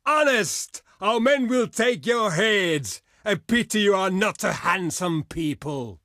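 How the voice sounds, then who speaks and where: An actor voicing a proud, gruff barbarian warrior envoy in a video game, blunt and boastful, declaiming in archaic, formal phrases. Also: male voice, high-pitched, animated, slow pace, pushed and bright, dry recording, clean studio sound, full character voice. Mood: fierce, war-hungry. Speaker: diplomat character in a strategy game. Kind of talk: theatrical video game voice lines.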